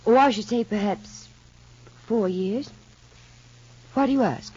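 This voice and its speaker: An actress playing an anxious young mother in a radio soap opera, speaking politely and hesitantly in measured phrases. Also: fairly high pitch, a little mellow. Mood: guarded. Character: anxious young mother confiding in child psychologist. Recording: muffled, husky-sounding old radio recording.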